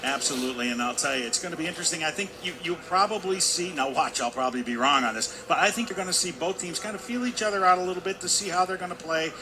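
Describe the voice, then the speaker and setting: A man's voice: on the high side, fast, few pauses, background noise. A man giving chatty, informal color commentary on a live ice hockey game from an indoor arena.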